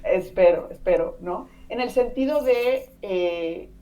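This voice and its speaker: A woman's voice: medium pitch, expressive, slow pace, mellow. A Mexican woman in her thirties, a podcast guest talking casually and chattily, with many fillers and tag questions. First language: Spanish. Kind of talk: casual conversational interview. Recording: distant mic.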